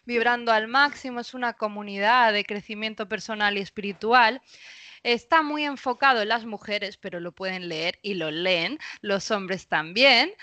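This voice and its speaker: A woman in her thirties with a Spain Spanish accent, a podcast guest talking casually and thoughtfully, pausing often with fillers. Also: mid-range voice, bright, forceful tone, no echo. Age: 30s. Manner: thoughtful, pauses with frequent fillers. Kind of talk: casual interview talk.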